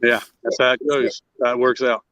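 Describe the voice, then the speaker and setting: A man's voice: mid-range voice, flat delivery, brisk, a bit bright, no echo. A man chatting casually in short, blunt, opinionated remarks, with a muffled lo-fi sound. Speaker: band member joining the talk. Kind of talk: casual chat.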